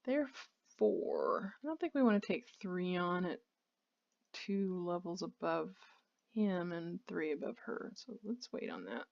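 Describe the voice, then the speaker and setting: Woman, slightly low voice, casual game commentary, thinking aloud, muffled lo-fi home recording. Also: big pitch swings, measured pace, vocal fry, darkish tone. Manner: rambling, thinking aloud while playing. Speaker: video game streamer. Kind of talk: casual let's play commentary.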